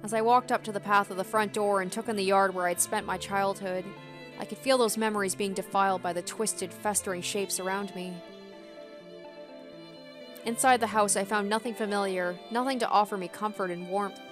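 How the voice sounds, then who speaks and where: A woman with a medium-pitched voice gives a dramatic reading of a first-person story. Faint music runs behind her narration. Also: fairly even intonation, moderate pace. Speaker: gaming video host.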